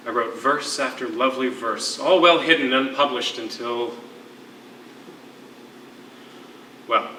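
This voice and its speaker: An actor playing a lyrical, wistful former poetry teacher held as a prisoner, speaking with theatrical eloquence in a stage play. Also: male voice, medium pitch, sharp tone, lightly acted. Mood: gentle, reflective. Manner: eloquent, theatrical, measured storytelling. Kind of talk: live one-act stage play. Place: theatre stage, recorded from a distance. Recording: echoey, distant stage recording with faint noise.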